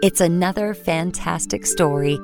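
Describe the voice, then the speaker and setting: A woman's voice: on the low side, normal speed, gravelly. A woman hosting a children's bedtime podcast with scripted, soothing lines addressed directly to young listeners. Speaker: host. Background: music.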